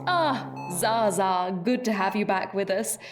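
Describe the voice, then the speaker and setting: Woman acting a brisk, unflappable spy chief. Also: mid-range voice, over music, natural voice. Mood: unruffled. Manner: formal, dryly authoritative. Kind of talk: sci-fi audio drama dialogue.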